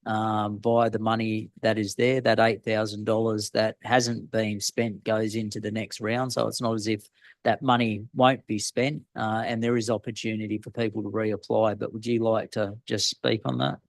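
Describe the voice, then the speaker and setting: A man from Australia, the mayor, chairing a council meeting in a steady, procedural way. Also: on the low side, normal speed, no room sound.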